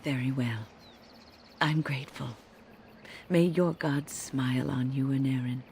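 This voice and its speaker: An actress playing a dignified older woman mage in a game, grave and formal with a deliberate delivery. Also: very deep voice, fairly even intonation, a little mellow, slight background noise. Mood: earnest. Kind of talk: dramatic game cutscene acting.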